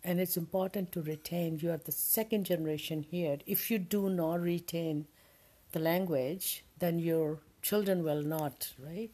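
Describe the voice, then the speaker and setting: Mellow, husky older woman. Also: on the low side, a little slow. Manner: thoughtful, searching for words. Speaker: narrator's mother. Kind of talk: casual interview at home.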